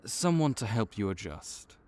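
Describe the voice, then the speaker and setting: A man's voice: fairly low pitch, very animated, a little slow, darkish tone, a little hiss or hum. A man voicing a laid-back vampire clerk and mentor in an audio roleplay, dry and wry as he speaks to the listener. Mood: easygoing.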